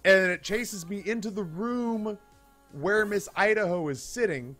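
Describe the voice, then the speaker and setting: A man, telling a comedic story casually in an animated, hyperbolic, profane way as a streamer. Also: sits high, unhurried. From United States. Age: 30s. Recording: music behind the voice. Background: background music.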